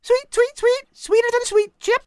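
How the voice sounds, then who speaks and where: A man's voice: very high voice, fairly even intonation, normal speed, no room sound, studio quality, voice pushed up. A man imitating the calls of forest songbirds in a chirpy, whistly way. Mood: chirping.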